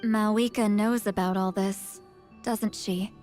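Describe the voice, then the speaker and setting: A woman's voice: medium pitch, steady tone, slow, a little mellow, faint music behind, lightly acted. A woman voicing an anxious but determined young shaman in a video game, earnest and emphatic. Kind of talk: scripted video game voice acting.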